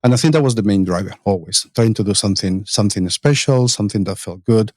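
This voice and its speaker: A man with a Spanish accent speaks in a low voice as a podcast guest. He thinks aloud, restarting phrases and dropping fillers as he goes. The sound is close and dry. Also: fast, a little mellow, no echo. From Spain. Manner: thoughtful, many restarts and fillers. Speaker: guest, conference organizer and agile coach. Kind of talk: conversational interview. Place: conference venue.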